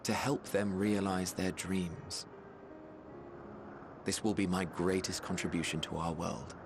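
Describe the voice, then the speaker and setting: An actor playing a genial, wry mage in a video game, speaking in eloquent, formal phrases with fond admiration. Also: male voice, deep voice, little pitch movement, noisy background. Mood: fond, proud. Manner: eloquent, formal, measured storytelling. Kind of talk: video game cutscene acting.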